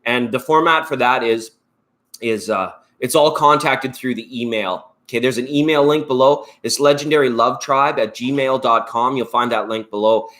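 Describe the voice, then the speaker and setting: A man's voice: mid-range voice, moderate pace, a bit bright. A Canadian man hosting a live tarot stream, chatting in a rambling, upbeat way and thanking viewers by name. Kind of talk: casual live-stream chat.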